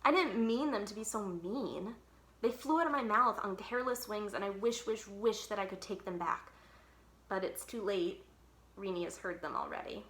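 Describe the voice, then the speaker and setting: A woman's voice: medium pitch, moderate pace, fairly bright tone, reverberant. A woman reading a children's book aloud expressively, leaning on key words with dramatic emphasis. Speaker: library read-aloud storyteller.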